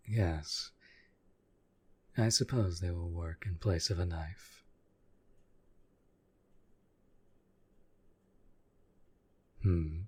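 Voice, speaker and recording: A man playing a devoted, lovestruck demon bound to a summoner, husky and breathy, speaking in formal, courtly phrases in an intimate audio roleplay. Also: low voice, mellow, full character voice. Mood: hesitant, curious.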